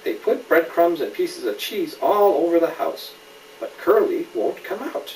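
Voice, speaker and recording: Casting: man as a dad storyteller, lively swooping intonation, patient asides, recorded from across a roomy space. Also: fairly high pitch, measured pace. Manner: explains patiently, asks the child questions. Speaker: father reading a story to a child. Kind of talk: read-aloud picture book storytelling with casual asides. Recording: roomy, distant mic, faint background noise.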